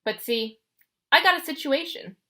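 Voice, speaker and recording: A woman voicing a bullying male god of war in a storybook reading, gruff and taunting. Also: sits high, animated, normal speed, bright, forceful tone, voice pushed up. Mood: menacing.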